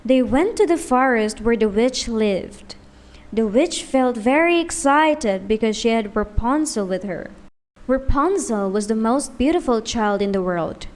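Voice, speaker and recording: A woman's voice: on the high side, expressive, measured pace, darkish tone. A woman reading a scripted fairy tale as a storyteller.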